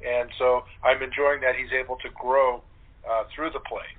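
Mid-pitched man on a thin phone line. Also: level tone, moderate pace. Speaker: guest voice actor in radio plays. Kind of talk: casual interview chat.

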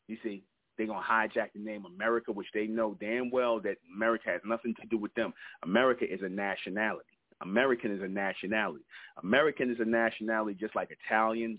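Low-voiced man lecturing over a phone line. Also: dry recording. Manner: preachy, repetitive, emphatic lecturing. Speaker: radio show host. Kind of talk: talk radio monologue. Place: internet radio call-in show. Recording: tinny phone-line call-in audio, dry.

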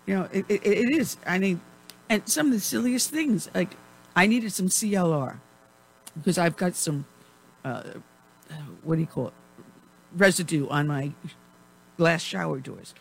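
A woman hosting a talk radio show, chatting in a rambling way with frequent laughs while her voice is going hoarse. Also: on the low side, low-quality recording. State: losing her voice. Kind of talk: casual talk radio chat.

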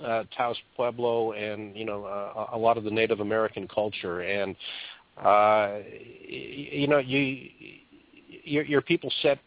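A man's voice: on the low side, fairly even intonation, unhurried, vocal fry, dry recording. A man co-hosting a radio talk show, asking questions hesitantly with stammers and restarts. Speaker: radio co-host. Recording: tinny lo-fi radio sound.

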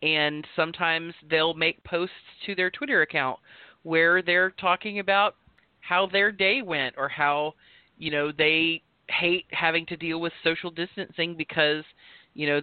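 A man in his thirties chats casually as a podcast co-host. He rambles frankly with plenty of fillers, and his voice sits a little high. The sound is thin and phone-like, and it is dry and close. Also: steady tone, normal speed, clean tone, dry recording. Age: 30s. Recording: tinny phone-like call audio, dry.

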